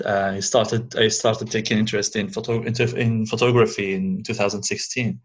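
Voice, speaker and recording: Creaky-voiced man, halting English. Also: lowish voice, dull audio. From Algeria. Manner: halting, searches for English words. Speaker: guest. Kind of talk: casual interview.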